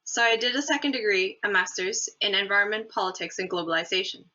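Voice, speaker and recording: A young woman from Hong Kong delivers a prepared awards speech in a measured, earnest way. Her voice is bright and forceful, sitting at a middle pitch. The recording is slightly dull and lo-fi. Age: young adult. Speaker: awards finalist giving a speech. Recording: dull lo-fi audio.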